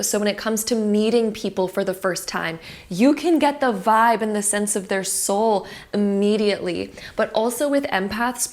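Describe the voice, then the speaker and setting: A woman giving a pick-a-card tarot reading to her viewers, soft and affirming, her voice a little cracked from having just woken up. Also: mid-range voice. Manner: soft, affirming and spiritual, full of fillers. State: voice cracking after just waking.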